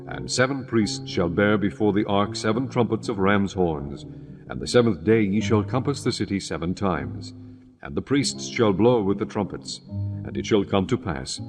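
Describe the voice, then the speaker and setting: A man's voice: on the low side, in a large hall, close to an everyday voice. A man voicing the Lord in a dramatized scripture reading, giving formal commands in archaic English. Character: the Lord, commanding divine male voice. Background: guitar music.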